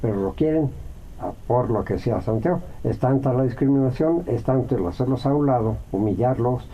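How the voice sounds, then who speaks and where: A Mexican man, a radio guest, answering at length in a reflective way, with many pauses and fillers. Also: mid-range voice, expressive, dull audio.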